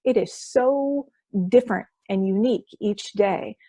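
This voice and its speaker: A woman's voice: on the high side, unhurried, dark tone, slightly rough, no room sound. A woman who works as a hypnosis practitioner, explaining her sessions as a guest in an interview, enthusiastic and often restarting her phrases.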